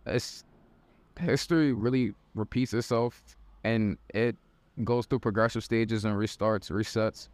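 Young man, blunt, swears freely, low voice, dry close sound. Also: measured pace, darkish tone, dry recording. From United States. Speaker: member of a creative group. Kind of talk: casual group conversation.

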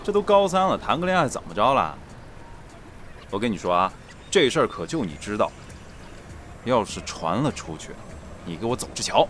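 A man playing a cocky, menacing high school troublemaker in an audio drama, taunting as he speaks. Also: sing-song, normal speed, pauses often, a bit bright, slight background noise. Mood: taunting, threatening. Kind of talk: Chinese audio drama acting.

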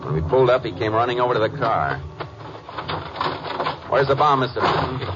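An actor playing a calm, firm Texas Ranger who narrates and questions tersely in a 1950s radio drama. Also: male voice, mid-range voice, background noise, natural voice. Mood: narrating, then tense, resolute. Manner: terse, measured, authoritative. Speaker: lead detective and narrator. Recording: dull old radio recording.

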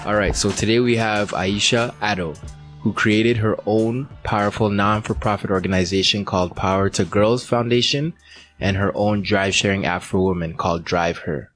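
A man hosting a podcast, reading a scripted intro and then interviewing his guest in a measured way. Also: fairly low pitch, a bit bright, faint music behind. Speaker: podcast host.

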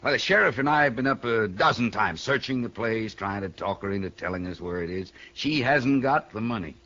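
A desperate small-town banker pleads his case in a radio western. He sounds American, anxious and emphatic, with a somewhat low voice on a dull vintage recording. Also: expressive, fairly quick, pushed and bright, full character voice. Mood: worried, explaining. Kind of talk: 1950s radio western acting. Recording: muffled 1960 radio broadcast.

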